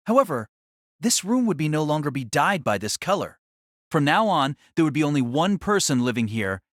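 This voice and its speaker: A man's voice: medium pitch, no echo, studio quality. A man narrating a scripted audio drama as a storyteller.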